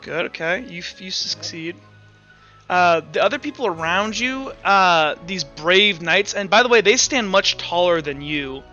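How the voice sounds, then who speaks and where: Man, game master, bright forceful voice, halting narration, slightly dull recording, music behind. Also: high-pitched, expressive. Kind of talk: narrating a tabletop roleplay game.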